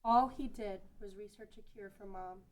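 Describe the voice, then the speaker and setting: A woman's voice: mid-range voice, steady tone, moderate pace, a little mellow, natural voice. A woman playing a grieving little girl in a fantasy table read. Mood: bitter grief.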